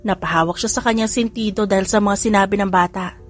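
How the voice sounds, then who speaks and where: A woman narrating an audio drama story in a lively, expressive reading style. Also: medium pitch, fast, faint music behind. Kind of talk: audiobook storytelling with character voices.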